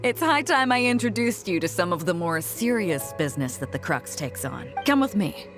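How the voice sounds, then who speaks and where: A bold pirate fleet captain from a video game, speaks with assured command and a teasing edge. Her pitch swings widely as she talks, and soft music plays behind her. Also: big pitch swings, normal speed. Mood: businesslike. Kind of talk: video game character acting.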